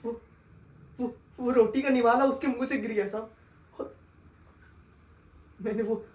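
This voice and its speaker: A woman performing a dramatic monologue as a desperate orphaned son, pleading with a sahib, stammering and swinging between hushed and wailing delivery. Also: fairly high pitch, fairly even intonation, unhurried, lightly acted. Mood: haunted. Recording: echoey room.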